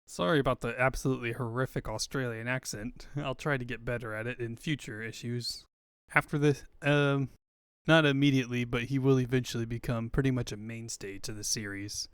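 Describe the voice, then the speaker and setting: A man's voice: mid-range voice, fairly even intonation, brisk, clear, resonant tone, dry recording, clean studio sound. A man hosting a comic fandub with casual, chatty commentary, joking and swearing freely. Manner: jokey, sweary. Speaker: host and fandub reader.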